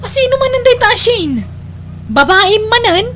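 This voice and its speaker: High-voiced nagging Ilocano big sister played by an actress. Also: female voice, big pitch swings, moderate pace, a bit bright, a little hiss or hum, exaggerated voice. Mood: suspicious, chiding. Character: nagging, worried older sister scolding her brother. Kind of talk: Ilocano radio-style audio drama acting.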